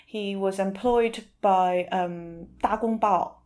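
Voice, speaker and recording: A woman speaking as an interview guest, explaining things informally and sometimes pausing to search for the right word. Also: mid-range voice, fairly even intonation, a little mellow.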